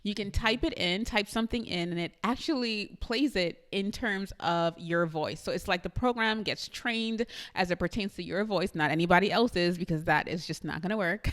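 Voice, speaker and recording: A woman's voice: mid-range voice, moderate pace, a bit bright. A woman with a Trinidadian accent talking chattily and eagerly to her viewers in a casual tutorial vlog. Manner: chatty, enthusiastic, addresses viewers directly with frequent laughs. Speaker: YouTube creator.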